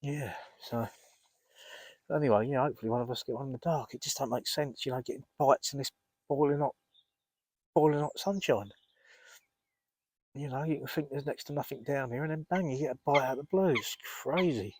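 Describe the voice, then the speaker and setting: A man with a cold, rambling casually to camera while fishing outdoors by a lake, often clearing his throat. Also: medium pitch, brisk, long pauses, a little mellow, no echo. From England. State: congested, blocked up with a cold. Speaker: carp fishing vlogger.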